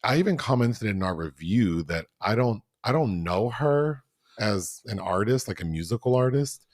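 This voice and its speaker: A man's voice: on the low side, animated, moderate pace, a little mellow, no room sound, studio quality. A man co-hosting a podcast, talking through a film review in a casual chat full of fillers and restarts. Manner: chatty, many fillers and restarts. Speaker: podcast co-host.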